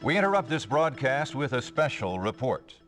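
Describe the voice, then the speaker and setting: A man's voice: mid-range voice, big pitch swings, normal speed, loud music behind the voice, natural voice. A man playing a solemn television news anchor in a game, reading special reports formally and emphatically. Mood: grave, formal.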